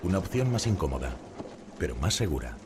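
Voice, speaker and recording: Deep-voiced man narrating. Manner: calm, measured storytelling. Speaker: undercover journalist narrator. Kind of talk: documentary voice-over narration. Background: music bed.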